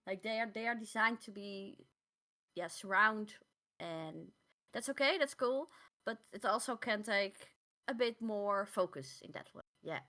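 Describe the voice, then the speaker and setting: A woman's voice: medium pitch, measured pace, pushed and bright. A woman co-hosting a podcast in casual conversation, speaking English as a second language with non-native phrasing. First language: not English. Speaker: podcast co-host. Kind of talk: casual discussion of audiobooks.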